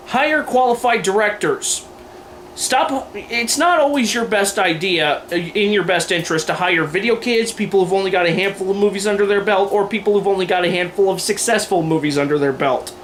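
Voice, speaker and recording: A man with a notably high voice hosts an opinion video. He delivers scripted commentary with sarcasm and firm emphasis. There is faint room noise behind him. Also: moderate pace, fairly bright tone. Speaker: video host. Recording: noisy room recording.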